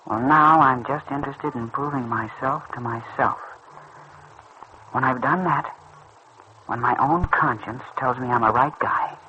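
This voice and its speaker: An actor playing an earnest, boyish teenage lad, tender and proud, in a mid-century radio soap style. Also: male voice, medium pitch, pushed and bright. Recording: muffled, noisy old radio recording.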